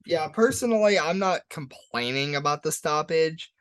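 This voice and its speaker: A man with a somewhat high voice co-hosts a casual sports podcast. He talks like a hyped fan, hammering key words by repeating them as he previews and breaks down fights. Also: animated, moderate pace, a bit bright. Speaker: podcast co-host.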